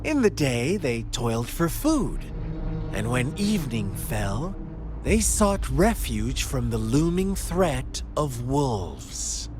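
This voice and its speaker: An actor voicing a sly, mocking young male villain in a game cutscene, speaking in a theatrical, teasing, fable-telling manner. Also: medium pitch. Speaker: game antagonist. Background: game soundtrack music.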